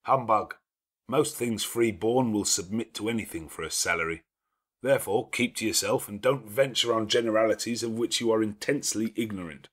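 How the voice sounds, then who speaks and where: Imperious, brooding master of the house played by a male narrator: low-pitched, emphatic, self-reproaching, classic-novel dialogue. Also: normal speed, a bit bright, slightly rough. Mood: mocking, emphatic. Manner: emphatic, commanding, declamatory.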